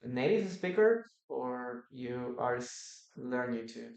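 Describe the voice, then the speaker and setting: Slow, encouraging English teacher. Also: mid-range voice, animated, vocal fry, a little mellow. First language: Spanish. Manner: encouraging, repeats phrases; slow, careful delivery. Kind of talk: live online class.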